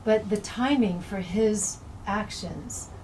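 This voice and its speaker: A woman's voice: medium pitch, slow, darkish tone. A woman at a live book event putting a reflective question, tentative and thinking it out as she speaks. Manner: tentative, musing. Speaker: participant asking the author a question. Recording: faint room noise.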